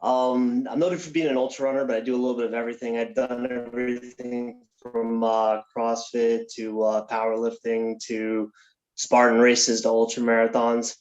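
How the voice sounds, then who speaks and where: A man's voice: mid-range voice, little pitch movement, normal speed, darkish tone. A man in his thirties, an ultra runner, speaking hesitantly with many fillers. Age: 30s. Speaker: ultra runner giving a testimonial. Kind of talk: testimonial interview. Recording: dull lo-fi recording.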